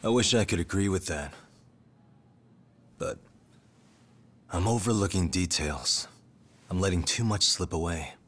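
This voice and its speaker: An actor playing a calm, protective detective in a game drama, talking in a measured, matter-of-fact way. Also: male voice, low voice. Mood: frank, pensive. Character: calm, steady male detective, frank and protective. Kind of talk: dramatic game cutscene dub acting.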